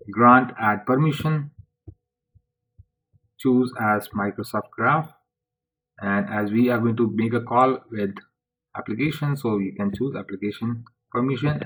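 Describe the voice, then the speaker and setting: A man presenting a software tutorial step by step in an informal way, speaking haltingly in short phrases. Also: fairly low pitch, rough recording.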